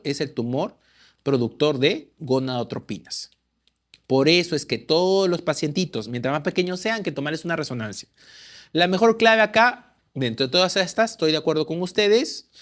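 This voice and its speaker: High-voiced man quizzing exam questions. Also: fairly bright tone. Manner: quizzing, answers own questions. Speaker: medical exam tutor. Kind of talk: lecturing through exam questions.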